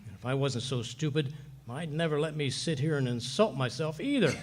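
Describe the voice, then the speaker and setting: An actor playing a blustering, bumbling but soft-hearted husband in folksy, slangy 1940s radio comedy style. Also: male voice, fairly high pitch, lively intonation, a little fast. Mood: exasperated self-scolding.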